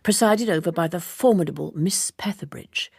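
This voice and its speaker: A woman reading literary narration as an audiobook narrator, in a measured, precise and formal way. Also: lowish voice, gravelly, darkish tone, slightly rough, no room sound, clean studio sound.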